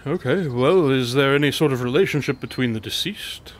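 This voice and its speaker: A composed, inquisitive detective, played by a male actor in an audio drama. His medium-pitched voice is dry and courteous, probing with slight formality. A faint rumble of noise lies underneath. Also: fairly quick, natural voice.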